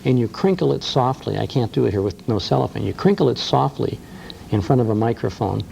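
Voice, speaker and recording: Middle-aged man, old-time radio host type, warm gravelly delivery, lively storyteller. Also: medium pitch, brisk, a little hiss or hum. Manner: enthusiastic, storytelling, frequent uh fillers. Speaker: radio host and museum co-founder. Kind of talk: casual interview chat.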